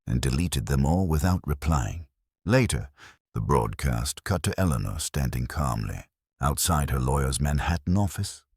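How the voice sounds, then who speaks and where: A man reading a scripted dramatic first-person story as narrator, in a measured, solemn manner. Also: very deep voice, slightly rough, dry recording, studio quality.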